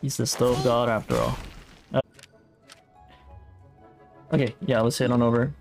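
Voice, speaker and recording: A man's voice: mid-range voice, takes it slowly, creaky. A man giving casual, laid-back live playthrough commentary as a game streamer, thinking aloud. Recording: faint game music behind. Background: video game music.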